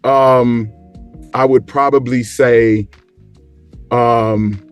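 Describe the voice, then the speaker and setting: A man chatting casually in a group, informal and often halting as he searches for his words. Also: medium pitch, steady tone, clear, resonant tone. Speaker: show guest. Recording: background music under the voice.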